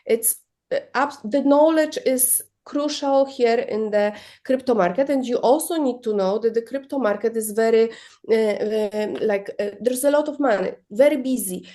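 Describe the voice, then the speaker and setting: Woman educator in interview, highish but really mellow voice, emphatic, repeats her points like teaching. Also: normal speed. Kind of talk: explanatory interview advice.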